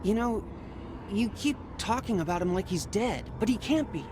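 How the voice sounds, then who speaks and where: A man's voice: high-pitched, expressive, normal speed, background noise. A young man playing an eager, brave Spartan youth, the hopeful younger brother, in a video game. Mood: defiant, hopeful. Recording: game music behind the voice.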